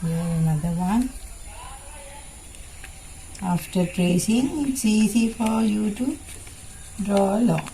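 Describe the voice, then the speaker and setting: Very slow-talking woman teaching small children. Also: medium pitch, warm tone, a little hiss or hum, rough recording. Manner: halting short phrases, repetitive instruction. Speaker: preschool teacher. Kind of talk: simple children's lesson, demonstrating while talking.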